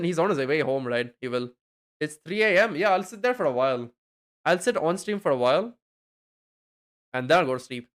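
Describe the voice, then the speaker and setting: A man's voice: mid-range voice, clean tone. A young man streaming late at night, chatting loosely with his viewers, full of fillers and casual swearing. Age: young adult. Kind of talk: casual stream chat reading chat.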